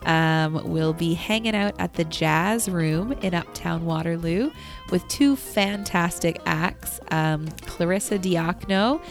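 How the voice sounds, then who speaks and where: Casting: woman radio co-host, slightly low pitch, upbeat and casual, music playing behind her. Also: takes it slowly. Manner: upbeat, enthusiastic. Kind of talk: casual event shout-outs on local radio.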